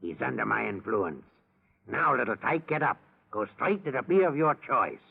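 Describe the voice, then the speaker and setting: An actor playing a commanding amateur hypnotist in a comic old radio advertisement, with a gravelly voice. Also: male voice, mid-range voice, animated, normal speed. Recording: thin, phone-like old radio recording.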